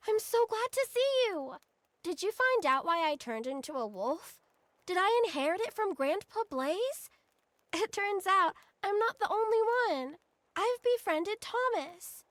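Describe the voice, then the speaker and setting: A woman's voice: extremely high pitch, a bit bright, no echo, heavily acted. A woman voicing a sweet, caring, selfless little girl in a video game cutscene, earnest and tender.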